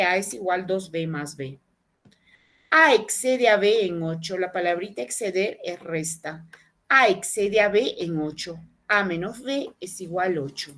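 A woman's voice: medium pitch, animated, fairly bright tone. Patient woman teaching math. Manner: patient, repeats each phrase slowly. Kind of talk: online class explanation. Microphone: webcam on video call.

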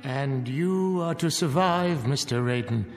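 An actor playing a stern, disapproving clergyman with a stiff, gravelly delivery. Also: mid-range voice, animated, unhurried. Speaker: Reverend Hughes, clergyman. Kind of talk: radio drama acting. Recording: long reverb with music beneath.

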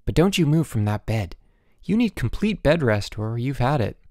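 A man's voice: mid-range voice, very animated, moderate pace, darkish tone, no echo, studio quality, close to an everyday voice. A man voicing a scheming schoolboy prankster, urgent and theatrically earnest, in a storybook reading. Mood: urgent, coaxing. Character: sly, scheming schoolboy prankster feigning alarm.

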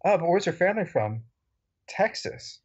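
A man's voice: sits high, sing-song, moderate pace, breathy edge. Wry man on a dull lo-fi recording. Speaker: podcast co-host. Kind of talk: casual podcast discussion.

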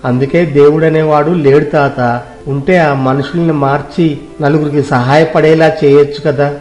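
An earnest young village cloth seller from a moral tale, acted by a man, speaks in a slightly high voice. He is humble and deferential, pleading softly with his betters. The sound is roomy with echo, and faint music plays underneath. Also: little pitch movement, moderate pace, lightly acted. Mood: bitter, sad.

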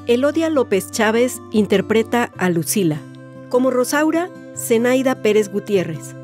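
Highish-voiced woman announcer. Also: darkish tone. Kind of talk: scripted credits reading.

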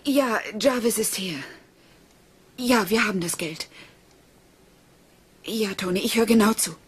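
A woman's voice: medium pitch, a little slow, a little hiss or hum, natural voice. A woman playing the anxious wife of a kidnapped man in a German crime audio drama, urgent and agitated.

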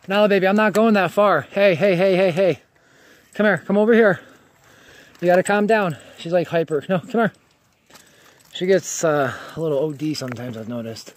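A man's voice: fairly high pitch, slight background noise. A man vlogging outdoors on a rocky hike, chatting casually and calling to his dog in a raised, coaxing voice, a little out of breath from climbing. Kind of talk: casual running commentary while hiking. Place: outdoors on rocky canyon slopes. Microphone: handheld camera held while climbing.

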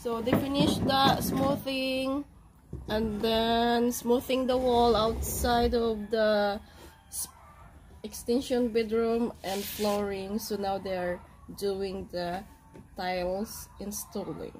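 A woman's voice: fairly high pitch, little pitch movement, takes it slowly, noisy background. A woman in her thirties chatting casually into a handheld phone camera on a home vlog and laughing often. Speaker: vlogger. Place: house under renovation in the countryside.

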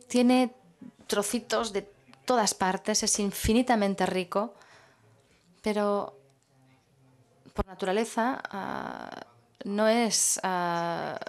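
A woman working as a simultaneous interpreter at a public talk. She speaks in measured phrases and pauses while she searches for the next word. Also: medium pitch, a little mellow.

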